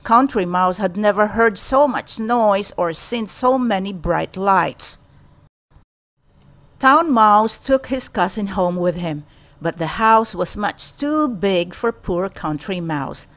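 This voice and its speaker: A woman storyteller reading a children's storybook aloud in a deliberate, expressive way. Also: medium pitch.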